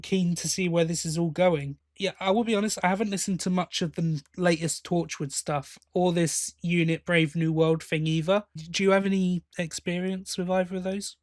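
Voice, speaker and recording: A man's voice: high-pitched, steady tone, moderate pace, hardly any pauses, a little mellow, dry recording, clean studio sound. A man co-hosting a fan podcast, giving opinions in a casual, conversational review style. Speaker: podcast host.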